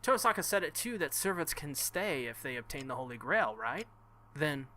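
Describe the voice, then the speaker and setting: A man's voice: fairly high pitch, animated, normal speed, pushed and bright, a little hiss or hum. A man voicing a stubborn, protective, hot-headed young man from a visual novel. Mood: earnest, tense.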